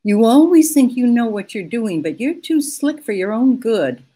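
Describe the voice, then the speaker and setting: An actress playing a frank, loyal detective's secretary in an old-time radio drama, speaking with scolding concern. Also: female voice, a little high, very animated, normal speed, dark tone, slightly rough. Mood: worried, chiding.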